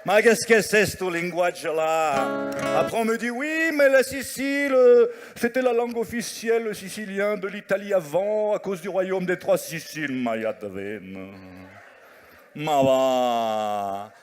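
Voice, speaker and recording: Male musical comedian, high voice, unhurried delivery, live stage over loud guitar, French sprinkled with Italian. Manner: sings and chats with the audience, mixing French and Italian. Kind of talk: stand-up comedy with sung parody songs. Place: stage before a live audience. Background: guitar accompaniment.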